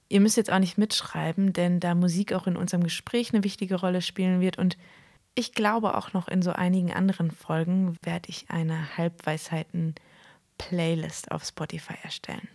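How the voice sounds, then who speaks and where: A woman's voice: on the low side, darkish tone, dry recording, clean studio sound. A woman hosting a podcast, chatty and eager, openly a little nervous as she introduces her guest. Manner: chatty, admits being nervous, effusive. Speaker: podcast host. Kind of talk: conversational podcast interview.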